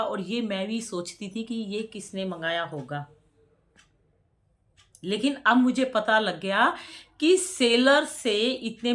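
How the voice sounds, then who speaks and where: A woman in her forties talking casually to her subscribers, chatty and preachy, often repeating words for emphasis. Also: fairly high pitch. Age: 40s. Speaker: vlogger.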